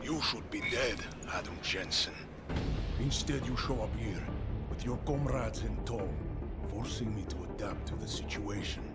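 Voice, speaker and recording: An actor playing a cold, fatalistic terrorist leader in a video game, speaking in a measured, formal, ominous way. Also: male voice, fairly low pitch, natural voice. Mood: cold threat. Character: menacing male terrorist leader, cold, fatalistic, solemnly grandiose. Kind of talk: cinematic video game villain acting. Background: loud music.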